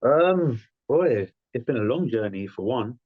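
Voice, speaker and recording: A man's voice: medium pitch, animated, a little slow, no room sound, narrow, tinny audio. A man in his thirties, a podcast guest, talking frankly in loose, rambling phrases with plenty of "um" and "like". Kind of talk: conversational interview.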